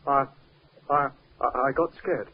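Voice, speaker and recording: A man's voice: mid-range voice, a little slow, a little hiss or hum, close to an everyday voice. A male actor playing a frightened, flustered young hired hand in a 1950s radio drama, stammering defensively. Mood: panicked, defensive. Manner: stammering, panicky protesting. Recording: muffled 1950s radio recording.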